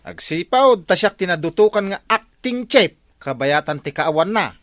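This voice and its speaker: A man's voice: sits high, expressive, moderate pace, a bit bright, dry recording, clean studio sound. An actor playing a self-important village watchman in a radio comedy drama, with an Ilocano accent.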